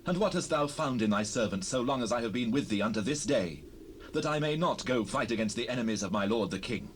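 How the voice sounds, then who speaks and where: A man's voice: mid-range voice, lively intonation, a little fast, close to an everyday voice. A man playing a loyal young warrior in a dramatized Bible, protesting in formal old speech. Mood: wronged, protesting. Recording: faintly noisy 1970s cassette rip.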